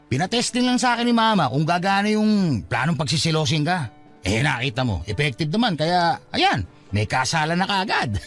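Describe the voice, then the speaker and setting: A man's voice: fairly high pitch, very animated, moderate pace, a bit bright. A man playing a cheeky, scheming young suitor, teasing and insistent, in a Filipino radio-style romance drama. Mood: playful, triumphant. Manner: teasing, wheedling, playful. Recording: music under the dialogue.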